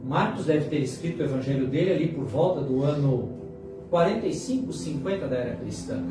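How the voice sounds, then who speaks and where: Echoey Brazilian pastor preaching over music. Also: male voice, on the high side, animated. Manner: dramatic pauses and repetition for emphasis. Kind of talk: sermon. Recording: very echoey hall, distant mic, music behind.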